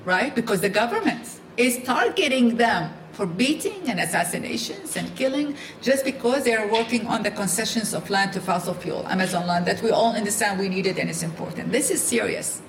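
A woman's voice: medium pitch, animated, fairly quick, hardly any pauses, slight background noise. Earnest woman panelist in an echoey room. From Iraq. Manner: earnest, emphatic, restarts often. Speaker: panel speaker. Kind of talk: live panel discussion.

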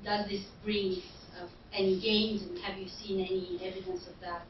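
A woman's voice: medium pitch, level tone, normal speed. Hesitant woman asking from far off. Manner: hesitant, many fillers and restarts. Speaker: audience member asking questions. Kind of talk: academic question-time. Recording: distant, roomy, dull and noisy hall recording.

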